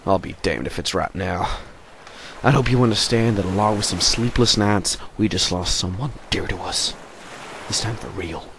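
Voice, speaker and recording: A man playing a gruff, blunt team fighter in a fan audio drama. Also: low voice, moderate pace, a bit bright, a little hiss or hum. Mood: bitter, weary.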